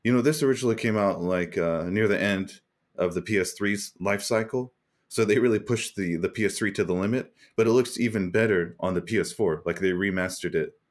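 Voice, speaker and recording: A man co-hosting a casual gaming podcast while sick with a cold, his voice deepened by it, talking in a laid-back way. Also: fairly quick. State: has a cold, voice deeper than usual. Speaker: podcast co-host.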